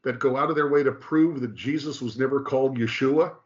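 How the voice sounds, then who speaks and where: A man speaking as a guest rabbi, explaining points firmly and hammering them home by repeating phrases. His pitch is mid-range. The audio is slightly muffled. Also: a little fast, slight background noise. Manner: emphatic, repeats key phrases. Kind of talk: explanatory discussion.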